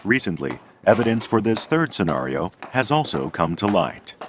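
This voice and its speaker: A man narrating a scripted science documentary in a measured, explanatory way. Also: on the low side, very animated, a little hiss or hum.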